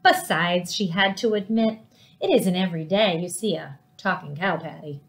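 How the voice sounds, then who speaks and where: A middle-aged woman reading a children's storybook aloud in an animated way, with dramatic, drawn-out emphasis. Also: fairly low pitch. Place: living room at home, indoors.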